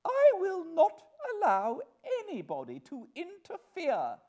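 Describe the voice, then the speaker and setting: A man's voice: extremely high pitch, huge pitch swings, slow pace, a little mellow, voice pushed up, heavily acted. A man voicing a haughty, easily affronted society mother in an audiobook, with an imperious, petulant and exclamatory delivery. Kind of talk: audiobook character voice.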